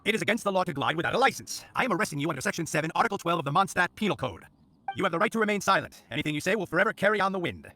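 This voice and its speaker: An officious young knight rattles along extremely fast in a bright, forceful voice. He is formal and dutiful, in anime game style. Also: high voice, expressive, exaggerated voice. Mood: officious, stern. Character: officious, earnest young male knight lawman.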